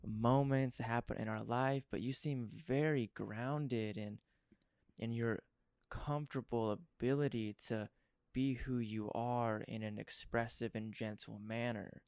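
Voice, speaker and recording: A young adult man hosting a podcast interview, talking in an earnest, effusive way with a voice worn hoarse. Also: mid-range voice, steady tone, a little slow, darkish tone, dry recording, studio quality. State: partly lost voice. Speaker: podcast host. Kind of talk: casual interview chat.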